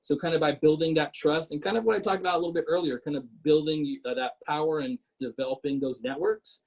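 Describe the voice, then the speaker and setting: A man in his thirties whose first language is Portuguese, speaking as a guest on a video call in a relaxed, conversational way with many fillers and restarts. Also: fairly high pitch, fast talker. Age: 30s. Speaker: guest speaker, city council member. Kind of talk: casual webinar talk.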